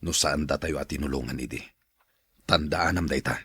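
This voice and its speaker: A man's voice: rumbling low, brisk, fairly bright tone, breathy edge, no room sound, clean studio sound, exaggerated voice. A man playing a stern, principled elder brother in an Ilocano radio drama. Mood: grave, reproving.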